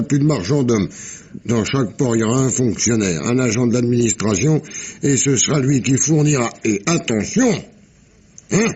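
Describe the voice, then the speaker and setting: An actor playing a very old, sly sailor in a French radio mystery drama, with a husky, wheezy voice and a halting storyteller's delivery. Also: male voice, medium pitch, a little mellow. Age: 80s. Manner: halting, chuckling, salty storyteller with hums and asides.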